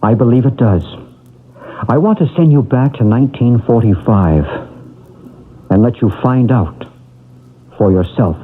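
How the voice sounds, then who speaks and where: A calm psychic investigator in an old radio mystery play, husky and steady. He speaks in a measured, reassuring way, and the sound comes through a thin, phone-like old broadcast band. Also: medium pitch. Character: calm male psychic investigator, middle-aged. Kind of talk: 1970s radio drama acting.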